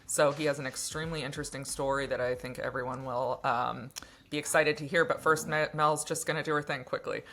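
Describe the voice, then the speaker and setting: A woman's voice: low voice, fairly even intonation, brisk, a bit bright. A woman hosting a casual podcast interview.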